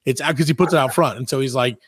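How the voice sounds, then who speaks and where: A man hosting a comedy podcast, telling casual stories in an animated, sweary way and acting out the dialogue. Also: mid-range voice, racing, breathy edge, dry recording. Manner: animated, profane, acts out quoted dialogue. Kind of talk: casual comedic storytelling chat.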